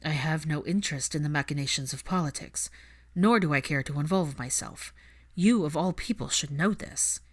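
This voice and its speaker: Low-pitched weary man, played by a woman, guarded, curt, subdued, dry sarcasm, audiobook dialogue. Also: moderate pace. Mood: guarded, resistant.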